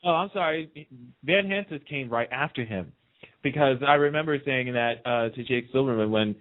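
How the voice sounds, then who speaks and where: A man's voice: mid-range voice, moderate pace. Casual male storyteller on lo-fi radio. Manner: emphatic repetition of phrases. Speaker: radio host being interviewed.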